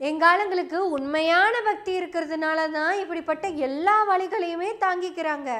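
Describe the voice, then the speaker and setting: Really high voiced woman doing political commentary, sarcastic, keeps asking rhetorical questions. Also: fairly bright tone. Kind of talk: opinion monologue to camera.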